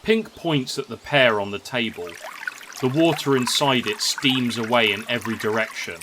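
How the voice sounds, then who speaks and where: A man's voice: mid-range voice, normal speed, background noise. A man reading scripted story narration. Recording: music under the voice.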